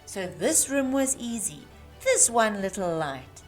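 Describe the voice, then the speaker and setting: A woman reading a rhyming children's storybook aloud with measured, emphatic phrasing for young listeners. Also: mid-range voice, very animated. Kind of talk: expressive read-aloud of rhyming Christmas storybook. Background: music.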